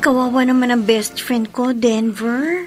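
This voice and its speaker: A woman playing a loyal, concerned young best friend in a Filipino radio drama, expressive and sympathetic. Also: on the high side, unhurried, faint music behind, close to an everyday voice. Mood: sympathetic, worried.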